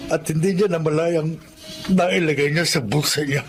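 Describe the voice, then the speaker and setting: A man in a radio drama who speaks in a measured, formal way and also reads the credits. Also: fairly high pitch, fairly even intonation, soft background music, close to an everyday voice. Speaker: radio drama actor and announcer. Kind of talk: radio drama acting and announcing.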